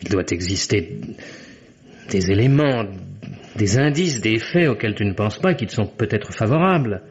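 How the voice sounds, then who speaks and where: A man's voice: fairly low pitch, expressive, moderate pace, slightly rough. Earnest young man, dull vintage recording. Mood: urgent, pleading. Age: young adult. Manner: insistent, emphatic, theatrical. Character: loyal, earnest young American lawyer defending his best friend. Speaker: radio drama actor. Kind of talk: 1950s French radio drama acting.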